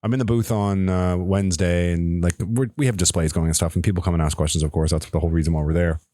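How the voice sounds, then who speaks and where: A middle-aged man from Nova Scotia telling stories in a casual podcast chat, animated and swearing, jumping up high when he quotes people. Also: quick pace, no echo, studio quality. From Nova Scotia, Canada. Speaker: podcast co-host.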